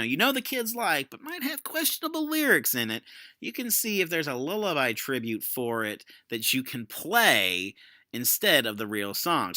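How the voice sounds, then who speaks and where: A man's voice: medium pitch, pushed and bright, no echo, clean studio sound. A man giving a casual screen-share tutorial as an educator, explaining things conversationally. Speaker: educator demonstrating a software tool.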